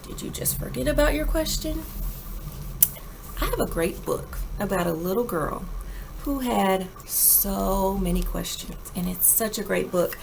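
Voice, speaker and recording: Woman, children's read-aloud, unhurried, very lively intonation, creaky edges, dramatic emphasis, faint background noise. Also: mid-range voice, poor audio. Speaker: teacher reading a picture book aloud.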